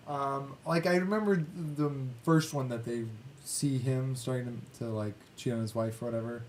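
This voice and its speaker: A man's voice: moderate pace, a little mellow, low-quality recording. A man hosting a casual film discussion podcast, thinking aloud in a meandering, hesitant way. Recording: clear background noise with low rumble.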